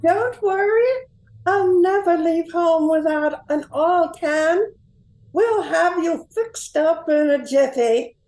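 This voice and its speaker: A woman's voice: extremely high pitch, expressive, slow pace, darkish tone, exaggerated voice, low-quality recording. A woman playing a polite, helpful storybook girl in an amateur radio play, with a reassuring manner.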